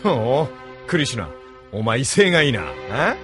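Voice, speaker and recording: Cocky street tough played by a man, swooping mid-range voice, rough talk, anime audio drama, light music behind. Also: mid-range voice, very animated, moderate pace, natural voice. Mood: amused, surprised. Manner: swaggering, rough-spoken. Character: cocky, brash street boss, tough young male brawler.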